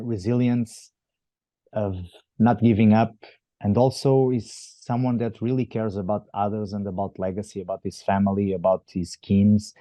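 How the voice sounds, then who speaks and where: A man's voice: lowish voice, warm tone, no room sound. Portuguese-accented man in his forties. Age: 40s. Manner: thoughtful, halting, many restarts and fillers. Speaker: athlete guest. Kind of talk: interview answers.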